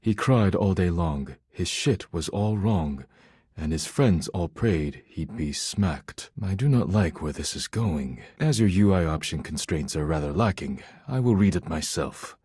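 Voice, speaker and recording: A solemn, earnest male video game character who loves poetry. His voice is low and heavily husky, recorded close and dry. He speaks formally and with measured pride, choosing each phrase with care. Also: animated, mellow, no room sound, exaggerated voice. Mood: dry reciting, then stern, disappointed. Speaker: voice actor in a dating simulator game.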